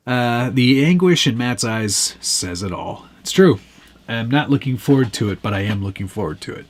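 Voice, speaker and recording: A man's voice: mid-range voice, animated, moderate pace. A man hosting a casual live stream, teasing his guest and reading viewer comments aloud.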